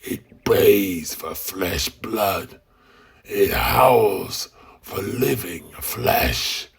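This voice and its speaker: A man voicing a sinister undead swamp guide with a husky, gravelly voice and a slow, theatrical, portentous delivery in a fantasy tale. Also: deep voice, expressive, exaggerated voice. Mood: ominous dread.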